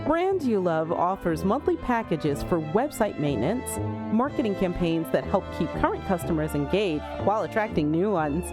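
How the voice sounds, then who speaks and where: A woman giving a scripted promotional read as the narrator of an advertisement. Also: medium pitch. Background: music bed.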